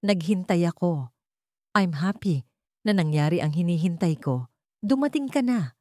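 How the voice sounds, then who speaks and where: A woman's voice: lowish voice, animated, moderate pace, a little mellow, dry recording, clean studio sound, close to an everyday voice. A woman voicing an earnest, tender young male suitor pleading his love in a romance audio story.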